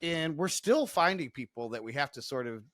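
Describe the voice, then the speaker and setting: A man's voice: on the high side, expressive, normal speed, fairly bright tone, no echo, studio quality. A man co-hosting a podcast interview in a casual, wordy way, often correcting himself mid-sentence. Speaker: podcast co-host.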